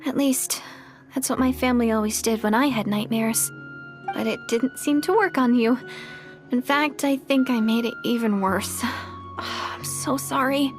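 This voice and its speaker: Woman as a high-voiced, gentle young traveler. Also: unhurried, over music, natural voice. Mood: apologetic, concerned. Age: young adult. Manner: hesitant, soft-hearted, earnest. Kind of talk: video game voice acting.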